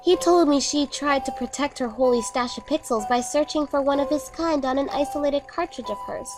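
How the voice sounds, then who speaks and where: Woman voicing a high-pitched, sinister younger sister. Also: brisk, natural voice. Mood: ominous, scornful. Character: sinister younger sister coldly recounting her sibling's doom. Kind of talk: dramatic horror monologue. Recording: music under the voice. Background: music.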